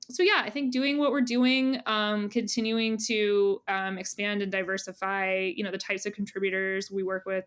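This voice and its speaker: A woman's voice: medium pitch, a bit bright, smooth. A woman speaking as an editor being interviewed, in a casual conversational style, thinking aloud with frequent "you know" and "like". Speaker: magazine editor, interview guest.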